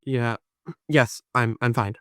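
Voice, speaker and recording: Guy doing a shaken train worker, subdued and halting, pitched down low, audio drama. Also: fairly even intonation, a bit bright, clear, resonant tone, no echo, studio quality.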